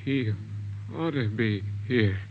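Dying man, agonizingly slow and halting. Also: medium pitch, lively intonation. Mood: bitter, fading. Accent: American. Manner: halting, broken phrases. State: weak, labored breathing. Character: gravely wounded, bitter criminal man. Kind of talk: 1950s radio drama acting. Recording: muffled, faintly noisy 1950s radio recording.

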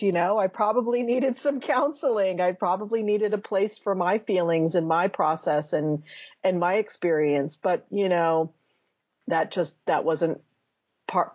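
A woman's voice: lowish voice, measured pace, a little mellow, slightly rough, dry recording, dull audio. A woman in her fifties, a memoir author answering interview questions thoughtfully, searching for words and restarting often. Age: 50s.

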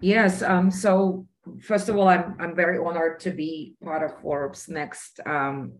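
Low-pitched Russian-accented woman, earnest. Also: steady tone, a little slow, poor audio. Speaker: podcast guest, entrepreneur. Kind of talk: conversational interview.

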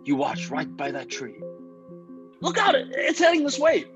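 Male jittery expedition quartermaster, radio drama. Urgent, frightened, very animated pitch, music underneath. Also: moderate pace, lo-fi sound, full character voice. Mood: panicked. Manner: excitable, urgent. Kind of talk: classic radio science fiction drama.

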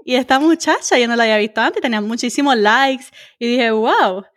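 A woman's voice: fairly high pitch, brisk, fairly bright tone, dry recording, studio quality. A woman with a Puerto Rican accent hosting a casual interview, chatty and encouraging, mixing English words into her talk. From Puerto Rico. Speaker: interviewer, host.

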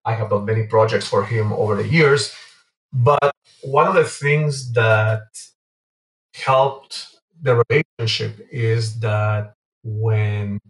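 A man answering interview questions in a halting way, often pausing mid-phrase. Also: mid-range voice. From Guatemala and Venezuela. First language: Spanish. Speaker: interview guest, entrepreneur. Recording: roomy echo, distant from mic.

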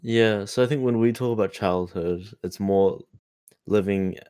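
A teenage boy, co-hosting a casual chat in a steady, thoughtful way and asking follow-up questions. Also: on the low side, unhurried, clean tone, no room sound, clean studio sound. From New Zealand. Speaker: co-host.

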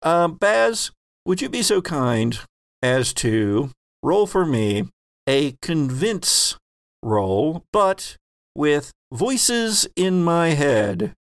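A man running a tabletop role-playing game as game master, asking the players questions in a relaxed, joking way. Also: fairly high pitch, extremely slow, dry recording, clean studio sound.

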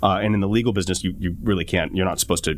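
A man from Southern California, a lawyer guest in a studio interview. His voice is very deep and he talks quickly, often stumbling and repeating words as he starts a thought. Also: slight background noise. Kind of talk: casual interview chat.

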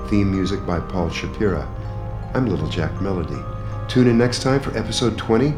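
Deep male announcer over music. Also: expressive, fairly quick. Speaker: show announcer. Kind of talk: closing credits announcement. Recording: echoey with music underneath.

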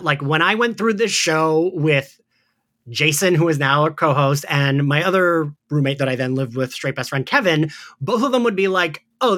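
A man's voice: a little high, bright, forceful tone. A man co-hosting a podcast in casual fan chat, rambling along and saying "like" often. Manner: chatty, rambling, heavy use of "like". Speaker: podcast co-host.

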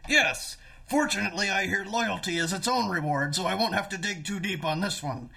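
A man playing a scheming, blustering music manager in a comedic radio play, wheedling and bossy, with a raspy voice. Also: sits high, a little fast, sharp tone, heavily acted. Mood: smug, scolding. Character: scheming, blustering music manager, sometimes disguised as oily foreign label man.

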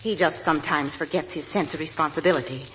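Devoted mother, middle-aged, low-pitched, genteel, blunt, deliberate, 1940s radio drama, slight noise. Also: fairly bright tone. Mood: uneasy, pleading. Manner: gracious, deliberate, hesitant when uneasy. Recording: noisy vintage 1940s radio broadcast.

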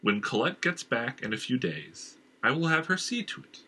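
A proud, stubborn king who issues firm commands, as a man acts him out. His voice is pitched above the narration and moves a lot, with a bright, husky tone. It is heard in a storybook reading. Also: very animated, moderate pace, full character voice.

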